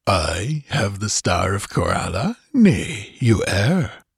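Man acting a gravelly, mocking sorcerer-priest villain. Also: lowish voice, big pitch swings, slow, dry recording, clean studio sound, full character voice. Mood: taunting. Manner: sardonic, purring false courtesy, taunting. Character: cruel, mocking, smugly lecherous male sorcerer-priest villain.

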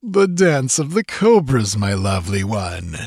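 A man's voice: on the low side, sing-song, measured pace, a little mellow, slightly rough, no room sound, clean studio sound, full character voice. A man voicing a cruel male sorcerer who taunts his victim in a mocking, gloating way. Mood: taunting.

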